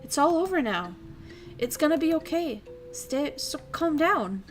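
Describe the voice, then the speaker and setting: An earnest teenage boy, put on by a woman reading a visual novel aloud, speaking urgently as he tries to soothe someone. His voice is raised and a bit high, with faint music underneath. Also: big pitch swings, measured pace. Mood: urging.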